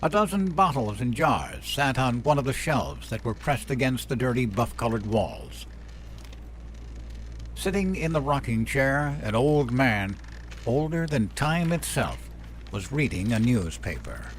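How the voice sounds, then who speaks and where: A man's voice: medium pitch, sing-song, measured pace, slight background noise. A man narrating a scripted, noir-tinged story in a literary, atmospheric storytelling manner.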